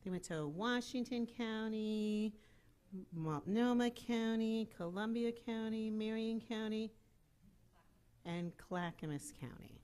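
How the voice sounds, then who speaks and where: A woman's voice: a little high, darkish tone. A woman from the program staff giving plain, informal introductory remarks to a meeting room.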